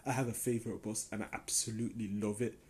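A man's voice: on the low side, little pitch movement, quick pace, darkish tone. Young man rambling through a Q&A. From Denmark. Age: young adult. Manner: rambling, lots of "like" and "um", enthusiastic. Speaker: podcast host. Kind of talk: casual solo Q&A chat.

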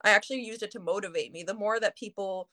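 A woman's voice: mid-range voice, fast talker, pushed and bright. A young woman in her early twenties talks as a guest in an easygoing interview. Her voice sits in a middle register for a woman. She rambles freely and drops "like" between nearly every phrase. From Canada. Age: early 20s. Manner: chatty, heavy use of "like" fillers. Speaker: singer, guest. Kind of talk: casual interview chat.

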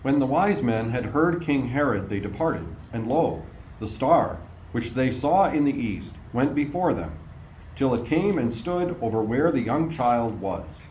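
Guy narrating a radio play, really lively pitch, echoey room, bit of noise. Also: measured pace. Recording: roomy sound with faint noise.

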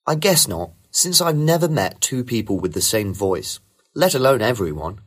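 A man reading rehearsed model answers for a speaking test in a smooth, even way. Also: mid-range voice, normal speed. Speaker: sample test candidate.

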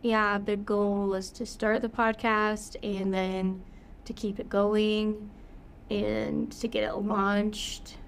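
A woman's voice: medium pitch, little pitch movement, takes it slowly. Young female podcast co-host with a cold, upbeat, casual, thinking aloud, faint hiss behind. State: has a cold. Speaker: podcast co-host, yoga teacher.

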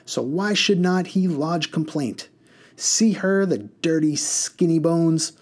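A man voicing a quarrelsome woman passenger who shouts and scolds shrilly. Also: on the high side, takes it slowly, pitched above the performer's own voice. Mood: scolding.

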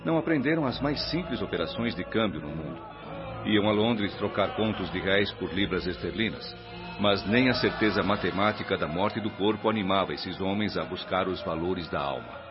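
An actor with a Brazilian accent playing a calm, stern spirit instructor in a radio drama, teaching in a solemn, measured way. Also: male voice, deep voice, natural voice. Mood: grave, instructive. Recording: dull lo-fi radio drama with music bed.

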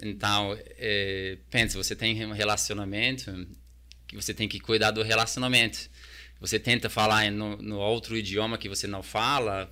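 A man with a fairly low but bright, forward voice, speaking as a talker. He chats casually as an interview guest, hesitant and often restarting with repeated words. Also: smooth. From New Zealand. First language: English. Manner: hesitant, repeats words while searching for Portuguese.

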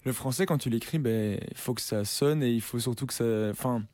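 Young musician dude rambling casually, warm low-key tone, dry close sound. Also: male voice, brisk, no echo. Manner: relaxed slangy talk with many fillers and restarts. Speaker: musician guest. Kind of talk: casual interview chat.

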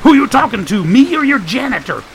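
Brash, high, creaky wisecracker. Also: male voice, lively intonation, normal speed, sharp tone, a little hiss or hum, heavily acted. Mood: wisecracking. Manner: folksy, wisecracking, exclamatory. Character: brash wisecracking folksy man, excitable and grumbling. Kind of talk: audiobook character voice.

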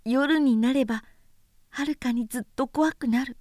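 Woman voicing a high, gentle little prince. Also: moderate pace, no room sound, studio quality, close to an everyday voice. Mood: wistful, quiet. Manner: soft, gentle, childlike phrasing. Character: small boy prince, gentle, wistful, tender. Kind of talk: storybook character voice in a literary recitation.

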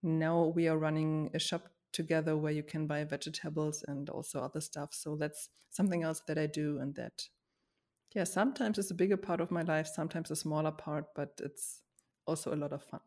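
A woman talking casually about herself in a reflective, slightly halting way, as in a conversation between co-hosts. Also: sits low, fairly even intonation, darkish tone. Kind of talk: casual personal chat.

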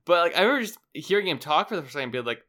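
Giggly podcast co-host, lively pitch, bright tone, casual movie banter. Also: racing. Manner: giggly, animated, lots of "like". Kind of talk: casual film review chat.

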